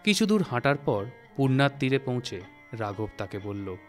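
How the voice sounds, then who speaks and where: A man narrates a literary tale in a studio. His pitch is medium and his phrasing is measured and deliberate. Soft music plays behind him. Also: very animated. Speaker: story narrator. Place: recording studio.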